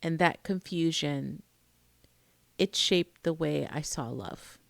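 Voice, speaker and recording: An American woman in her late forties shares a personal story to camera. Her voice sits on the low side with noticeable fry, and she speaks slowly and expressively, pausing for emphasis. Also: no room sound. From Queens, New York, United States. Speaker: vlogger, emotional intimacy coach.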